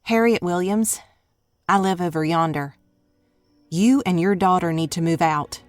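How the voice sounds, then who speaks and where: A woman voicing a caring, worried Southern mother who speaks in a low, earnest drawl with a Southern American accent. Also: a little slow, no room sound, studio quality, close to an everyday voice. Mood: worried, pleading.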